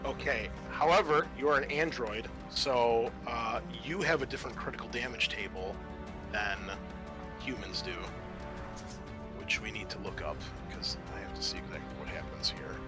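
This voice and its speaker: A man's voice: medium pitch, moderate pace. A man narrating a tabletop roleplaying game as game master, informal and thinking aloud as he goes. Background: music.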